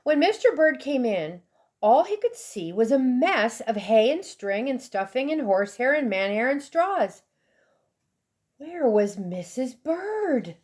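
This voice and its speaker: A woman with a high voice reads a storybook aloud to young children. Her pace is slow and deliberate, and she gives phrases expressive, dramatic emphasis. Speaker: storybook reader.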